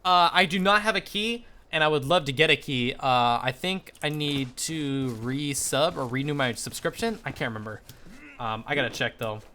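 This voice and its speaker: A young man telling a casual story while gaming on a livestream, animated and slangy, swearing freely. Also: on the high side, moderate pace. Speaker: live streamer.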